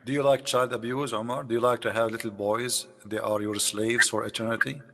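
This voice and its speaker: A man's voice: mid-range voice, flat delivery, fairly quick, gravelly, pushed and bright, in a large hall. A man whose first language is Arabic, hosting a debate and pressing his opponent with mocking questions. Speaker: debate host. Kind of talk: live debate call.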